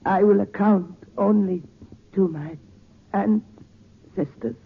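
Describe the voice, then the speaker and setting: Sinister high husky man. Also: slow pace, full character voice. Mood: ominous. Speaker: radio drama actor. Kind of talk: 1940s radio acting. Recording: tinny 1940s radio recording.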